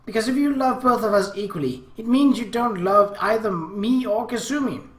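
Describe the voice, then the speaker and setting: A loud, clingy little anime sister played by a man, pitched high and girlish. The delivery is whiny and dramatic with swooping pitch, in a roomy-sounding recording, as in a visual novel. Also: animated, a little fast, full character voice. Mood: hurt, sulky.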